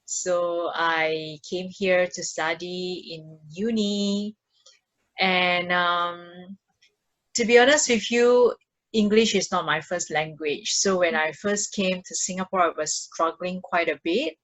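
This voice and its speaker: Indonesian accented woman, talks really slow and halting, lowish voice, casual podcast guest. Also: fairly even intonation, a bit bright, low-quality recording. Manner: halting, with frequent repeated words. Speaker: podcast guest, mindfulness practitioner. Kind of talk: casual personal storytelling.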